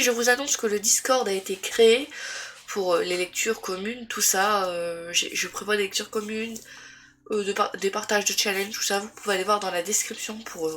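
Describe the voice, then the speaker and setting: A woman's voice: medium pitch, a bit bright. Female vlogger with a head cold, rambling and hesitant, faint room noise behind her. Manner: rambling with many fillers and restarts. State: congested with a cold. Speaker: booktuber. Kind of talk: casual book review vlog.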